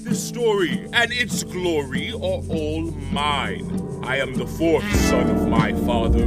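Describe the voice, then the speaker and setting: An actor playing a proud middle-aged patriarch ruler in an audio drama, with a grandiose, declamatory delivery. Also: male voice, on the high side. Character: proud, boastful patriarch ruler, father of ten sons. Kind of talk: theatrical audio drama acting. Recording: loud music behind the voice. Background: guitar music.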